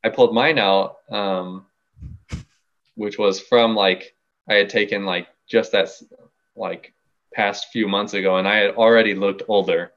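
Laid-back young man, low voice. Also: steady tone, a little slow, fairly bright tone, dull audio. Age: young adult. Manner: laid-back, playful boasting. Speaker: guest musician. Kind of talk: casual podcast chat.

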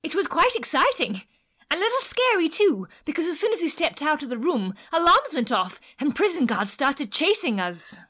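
A woman's voice: high voice, very animated, moderate pace, sharp tone, heavily acted. A woman playing a polite, clever, slightly hesitant helper in an audio drama, formal and careful in speech. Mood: recounting.

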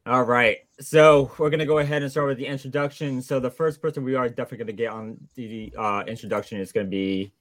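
A man's voice: mid-range voice, fairly even intonation, fast talker, few pauses, a bit bright. A man hosting a casual community Q&A, upbeat and chummy, calling guests buddy and man. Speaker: host.

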